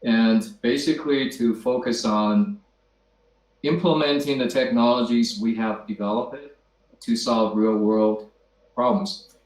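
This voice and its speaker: Measured Chinese-born man, echoey call. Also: mid-range voice, steady tone, darkish tone. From China. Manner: measured, with frequent uh fillers. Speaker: guest, company founder. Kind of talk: self-introduction. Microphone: video call. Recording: roomy echo.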